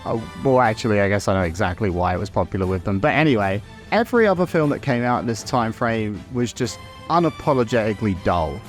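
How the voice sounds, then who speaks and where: A man's voice: fairly low pitch, lively intonation, fast, faint music behind. A man narrating a scripted video essay in a conversational, opinionated way, with casual asides. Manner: conversational, opinionated, mildly sweary.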